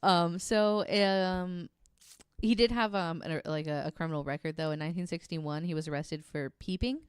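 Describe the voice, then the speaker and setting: A woman's voice: on the low side, normal speed, clean tone, no echo, studio quality. A woman co-hosting a podcast, telling true-crime stories in a casual, amused, informal and sweary way. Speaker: podcast co-host.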